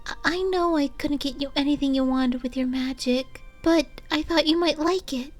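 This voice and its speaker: Casting: woman as sweet, timid female pony for a storybook, high and faint, halting and gentle, over music. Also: natural voice. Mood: nervous, tender. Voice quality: soft, faint, breathy. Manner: hesitant, shy, halting with ums.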